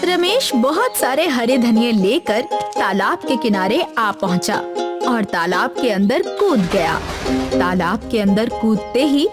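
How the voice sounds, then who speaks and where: Brief: female storybook narrator, animated delivery, light music bed. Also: fairly high pitch, unhurried. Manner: expressive storytelling.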